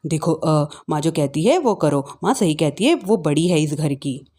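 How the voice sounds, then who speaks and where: A grumbling, easily swayed husband, put on by a woman in a family story. The voice is pushed much lower than the performer's own and speaks bluntly. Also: expressive, a little slow, dark tone. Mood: stern.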